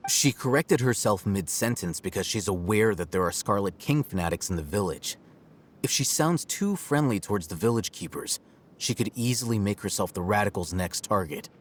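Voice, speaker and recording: An actor playing a composed, self-assured scholar in a video game, blunt and didactic in a measured, matter-of-fact way. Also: male voice, lowish voice, lively intonation, a little hiss or hum, natural voice. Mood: analytical. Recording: music playing under the voice.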